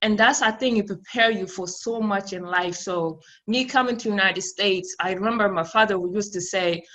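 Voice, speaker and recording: A woman's voice: medium pitch, moderate pace, fairly bright tone, low-quality recording. A woman from South Sudan, raised in Ethiopia with Amharic as her first language, answering interview questions in a rambling way, with many fillers and restarts. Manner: laughs between phrases. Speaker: podcast guest.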